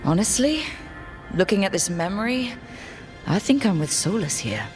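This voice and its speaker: A woman's voice: medium pitch, very animated, normal speed, faint music behind, natural voice. A woman voicing a thoughtful, level-headed elven heroine in a fantasy video game, speaking sincerely. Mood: reflective.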